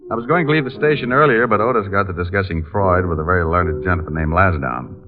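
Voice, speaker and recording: An actor playing an easygoing, wisecracking private detective in an old radio show, with dry, bantering quips. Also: male voice, deep voice, fast, music in the background, close to an everyday voice. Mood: teasing. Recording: tinny vintage radio recording.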